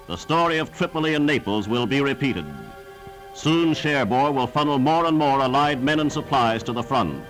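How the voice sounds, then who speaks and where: A man's voice: medium pitch, a bit bright, poor audio. A man narrating a 1940s wartime newsreel in a formal, declamatory scripted style. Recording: 1940s newsreel soundtrack with music.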